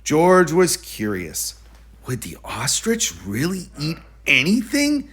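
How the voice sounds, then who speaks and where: A man reading a children's story aloud in an expressive storytelling style. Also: on the high side, takes it slowly, slightly rough. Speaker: storybook reader.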